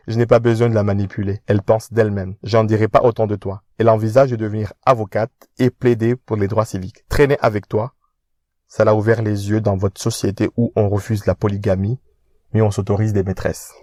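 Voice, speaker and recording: A man's voice: on the low side, level tone, brisk, dark tone, no room sound, clean studio sound, natural voice. An actor playing a proud, witty young man who answers back with mocking self-assurance in an audio drama. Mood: defiant. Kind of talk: independent audio drama acting.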